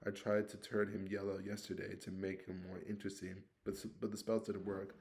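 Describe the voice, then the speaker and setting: A man's voice: sits low, monotone, brisk, vocal fry, darkish tone. A man voicing a blunt, grumbling schoolboy wizard in a read-aloud story. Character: cheeky, scruffy schoolboy wizard.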